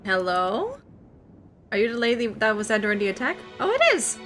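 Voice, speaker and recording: A woman's voice: on the high side, very animated, normal speed. A Dutch woman streaming a video game, chatting casually and playfully as she reacts aloud. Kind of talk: casual live game commentary. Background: soft game music.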